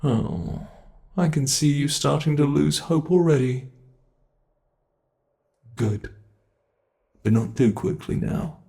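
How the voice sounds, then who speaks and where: A man's voice: a little high, mellow. A man with a British accent playing a cruel monster under the bed that mocks and toys with its trapped prey in a horror roleplay. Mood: menacing. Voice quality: gravelly, slow, drawn-out delivery. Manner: menacing, mocking, savoring. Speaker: ASMR roleplay performer.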